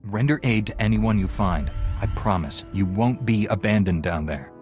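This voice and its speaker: An actor playing an earnest, determined young heroic knight in a sci-fi video game. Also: male voice, on the low side, animated, normal speed, a little mellow, faint music behind, lightly acted. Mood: earnest, assured. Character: steadfast, heroic young male Jedi knight. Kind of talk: scripted video game dialogue.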